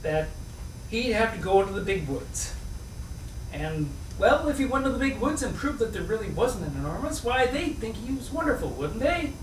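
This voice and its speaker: A man giving an expressive, playful live storybook reading, with chatty asides to his listeners. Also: high voice, moderate pace. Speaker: reader at a live book reading. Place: indoor room at a live reading. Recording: echoey room, distant mic, faint noise.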